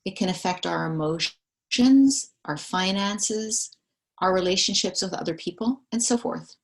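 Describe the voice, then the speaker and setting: A woman conducting a structured survey interview, calmly reading questions and summarizing the answers back. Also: medium pitch, measured pace. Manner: calm, methodical, reads questions and summaries back.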